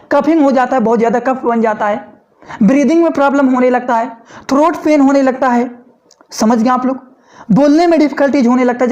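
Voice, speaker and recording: A man giving a fast, loud video lecture to medical students, repeating points and often checking that listeners have understood. He sounds slightly hoarse. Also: extremely high pitch, dull audio. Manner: repetitive, often asking "understood?", mixing English terms into Hindi; talks loudly and fast. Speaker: medical educator.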